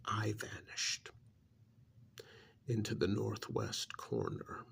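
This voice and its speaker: A man voicing an ancient alien creature who scorns humans, in a gravelly, breathy ASMR roleplay monologue that often sinks into whispers, slow and brooding. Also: on the low side, very animated, a bit bright. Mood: smug, brooding. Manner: slow, deliberate, brooding, with sighs and pauses.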